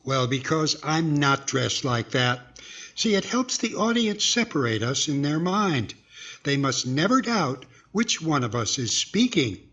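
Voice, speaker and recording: A man's voice: fairly high pitch, big pitch swings, a little slow, fairly bright tone, husky, highs cut off, full character voice. A man playing an eager, earnest ventriloquist auditioning in a 1940s-style radio comedy.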